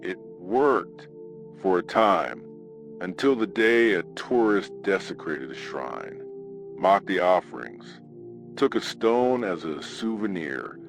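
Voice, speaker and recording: A man hosting a scripted horror radio show, telling a ghost story in a dramatic, ominous way with weighted pauses. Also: low-pitched, lively intonation, soft background music.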